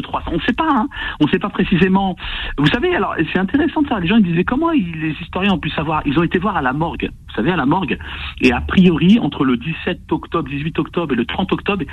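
A man's voice: fairly high pitch, slightly rough, no echo, lo-fi sound. A man speaking as a guest on a radio show, earnest and repeating words as he makes his point. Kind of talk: radio interview.

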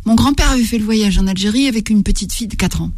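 An older woman from Algeria, a writer, talking slowly and reflectively in an interview, often stopping to search for her words. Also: mid-range voice, darkish tone, no room sound, studio quality. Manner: halting, searching for words, many restarts.

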